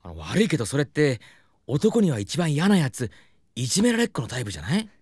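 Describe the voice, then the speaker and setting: A man voicing a gentle, timid, polite young man in a Japanese audio drama, boyish and hesitant. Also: mid-range voice, wildly swooping pitch, fast, slightly rough, no room sound, clean studio sound, full character voice. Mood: earnest. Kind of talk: Japanese anime-style audio drama acting.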